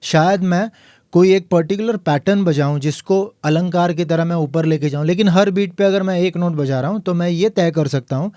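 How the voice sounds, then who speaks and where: A man teaching a piano lesson, explaining calmly and counting the beats aloud. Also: high voice, moderate pace, dry recording, clean studio sound. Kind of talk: tutorial lesson.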